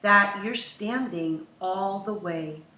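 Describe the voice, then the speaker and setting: Slow, bright woman reading in an echoey room. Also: medium pitch. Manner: measured, dramatic pauses, acts out quoted lines. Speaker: teacher reading a picture book aloud. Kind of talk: expressive children's storybook read-aloud. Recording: roomy echo, distant from mic.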